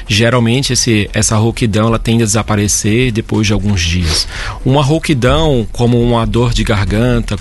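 Man, doctor guest, measured explainer, faint background hiss. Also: fairly low pitch. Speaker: head and neck doctor guest. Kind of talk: explaining medical topics on air.

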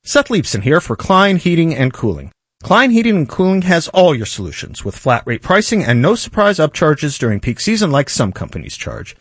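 Lively male radio ad pitchman. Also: moderate pace, breathy edge, no room sound, clean studio sound. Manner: lively, personal pitchman delivery. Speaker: radio ad spokesman. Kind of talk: live-read radio commercial.

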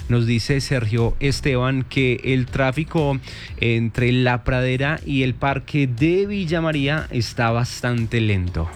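A man hosting a morning radio traffic show, lively and joking as he reads listener reports. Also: mid-range voice, slow pace. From Colombia. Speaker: radio host.

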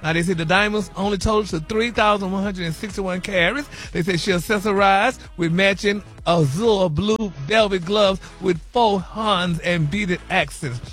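A man playing a sassy, high-voiced gossip diva. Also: normal speed, few pauses, a bit bright, faint music behind. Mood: gossipy. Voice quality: flamboyant, sassy, calls listeners honey and baby. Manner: drawling, breaks into giggles. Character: sassy campy gossip persona, male host. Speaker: celebrity gossip segment regular. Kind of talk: campy gossip chat on morning radio.

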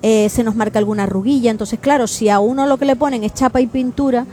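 A woman hosting a radio interview in a lively, talkative way. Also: on the high side, fast. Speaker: radio host.

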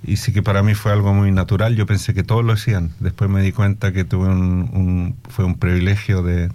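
Hesitant middle-aged man, mellow low voice. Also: flat delivery, a little fast. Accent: Chilean Spanish with Australian English influence. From Australia, Chilean immigrant family. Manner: hesitant, searching for words, mixing in English. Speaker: musician and music educator being interviewed. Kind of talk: reflective interview conversation.

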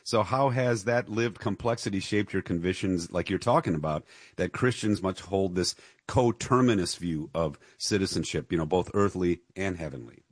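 A male interview host with a very low, husky voice. He jumps in conversationally and leans on "you know". The recording is dry, with no room sound. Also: normal speed, no room sound.

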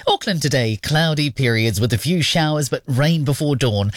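A woman reading radio news and weather in a measured, lilting way. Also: a bass voice, expressive. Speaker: newsreader.

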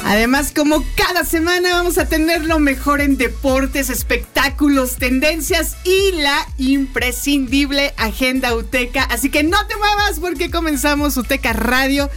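High-voiced Mexican woman hosting radio. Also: normal speed, hardly any pauses, a bit bright, soft background music. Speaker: radio show host. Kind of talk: upbeat scripted radio show introduction.

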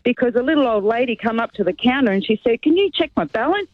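A woman's voice: a little high, expressive, fast talker, no echo. A woman telling a chatty joke in a replayed clip. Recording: dull lo-fi clip.

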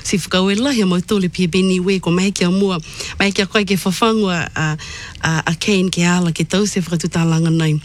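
A radio host asking guests questions in a halting way, often repeating words. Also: medium pitch, fairly even intonation. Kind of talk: interview questions.

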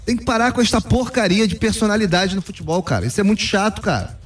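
A man's voice: high voice, animated, fast talker, breathy edge, soft background music. A man talking football as a pundit in casual sports talk, opinionated and emphatic. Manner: opinionated, emphatic, repeats "cara".

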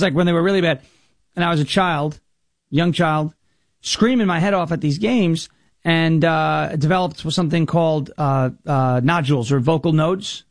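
An American man with a raspy voice from New York, hosting a talk radio show in an emphatic, jokey way. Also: fairly high pitch, dry recording, studio quality. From New York, United States. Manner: emphatic, jokey, slips into impression bits. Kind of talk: political talk show monologue with comic bits.

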